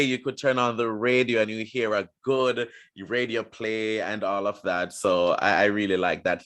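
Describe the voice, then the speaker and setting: A man's voice: lowish voice, fairly bright tone. A man hosting a casual, conversational interview, affirming his guests and often repeating words for emphasis. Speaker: interviewer and host.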